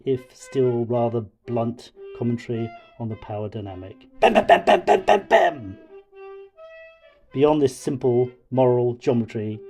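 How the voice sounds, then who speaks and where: A man voicing a pompous, booming oaf with a cold, delivering halting mock-scholarly film criticism. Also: warm tone, close to an everyday voice. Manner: pompous, mock-intellectual, halting over long words. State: has a cold.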